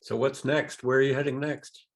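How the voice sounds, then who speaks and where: Guy asking questions on a zoom call, kinda halting, normal pitch. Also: flat delivery. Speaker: audience member asking questions. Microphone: video call.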